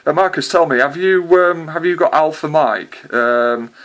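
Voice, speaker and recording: A man's voice: a little high, normal speed, a bit bright. A man chatting hesitantly with a distant contact as a CB radio operator, with lots of filler sounds. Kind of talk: casual radio contact chat.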